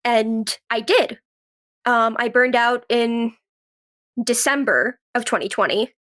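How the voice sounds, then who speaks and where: High-voiced young woman, chatty podcast guest. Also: slow, fairly bright tone. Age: 20s. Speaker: podcast guest, actress and writer. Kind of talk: casual interview chat.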